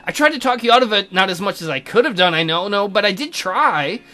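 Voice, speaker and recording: Visual novel tutor, acted by a man: awkward, exasperated, highish and forceful, pleading his case. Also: animated, a little fast. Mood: flustered, pleading.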